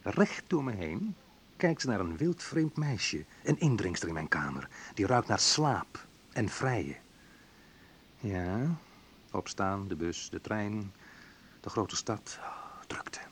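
A man's voice: on the low side, big pitch swings, takes it slowly, long pauses, breathy edge, full character voice. An actor playing a wry, sensitive young man who recalls his student love life in an intimate first-person radio play monologue. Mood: pained, subdued.

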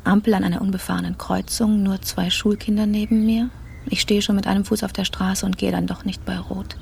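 An actress playing a triumphant, coolly vindictive career woman in a radio drama monologue, measured and savouring each phrase, with a creaky voice. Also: mid-range voice, level tone, a little mellow.

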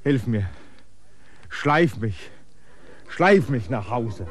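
Ein Schauspieler spielt in einem Hörspiel einen erschöpften, flehenden Landvermesser, der drängend und bittend spricht. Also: male voice, medium pitch, sing-song, drawn-out words, over music. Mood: pleading. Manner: pleading, clinging, insistent. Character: weary stranded male land surveyor, pleading and insistent. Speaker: land surveyor character. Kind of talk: radio play acting.

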